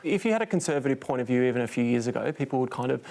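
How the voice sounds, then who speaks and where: A man speaking as a panel guest in a studio discussion, giving his opinions in a firm, measured way. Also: mid-range voice, expressive, vocal fry, a little mellow.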